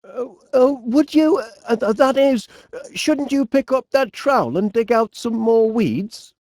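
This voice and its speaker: A cheerful, middle-aged Northern English inventor, bumbling and polite. His delivery is slow and very swoopy, with quaint exclamations and flustered hesitations. It is a comedy game character, recorded close and dry. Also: very high voice, lively intonation, a little mellow, no echo, full character voice. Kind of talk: animated comedy game voice acting.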